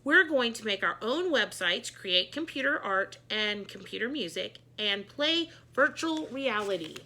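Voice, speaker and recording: A woman's voice: mid-range voice, lively intonation, measured pace, sharp tone, heavily acted. A woman voicing an enthusiastic, quirky female computer teacher in a children's storybook. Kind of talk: children's book read-aloud.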